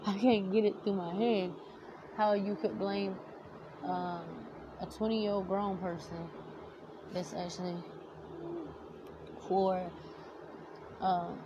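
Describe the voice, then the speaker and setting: A young woman with a slightly nasal, teary-sounding voice, ranting heatedly to camera with blunt, heavy swearing. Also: medium pitch. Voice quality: nasal, like about to cry. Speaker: vlogger. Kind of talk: storytime rant to camera. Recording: noticeable background noise.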